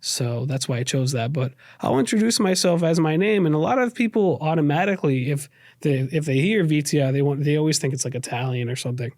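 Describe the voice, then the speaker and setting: A man's voice: on the high side, lively intonation, a little fast, darkish tone, no echo, studio quality. A man with a New York accent speaking earnestly and reflectively as an interview guest, often repeating and rephrasing as he goes. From raised in the United States, New York. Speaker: podcast guest. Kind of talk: reflective personal interview talk.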